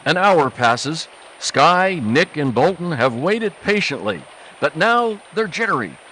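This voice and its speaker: A man announcing and narrating in the emphatic, excited style of a 1940s radio adventure show. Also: on the high side, slow, slight background noise, poor audio. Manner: excited, emphatic salesman-like delivery.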